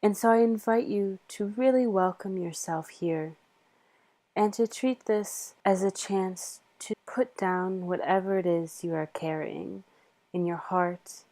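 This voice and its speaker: A woman leading a guided meditation in a soothing, measured manner with long pauses. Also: mid-range voice, mellow.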